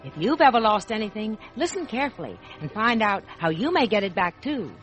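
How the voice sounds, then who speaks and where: Female announcer, mid-pitched, scripted old-time children's radio delivery, faint music underneath. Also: very animated, brisk, poor audio.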